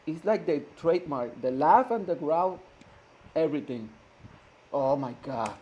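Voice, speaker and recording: A man's voice: on the high side, expressive, a little slow, lo-fi sound. A man hosting a reaction video, talking earnestly and hesitantly, searching for words with many fillers and restarts. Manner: earnest, admiring. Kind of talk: casual reaction commentary.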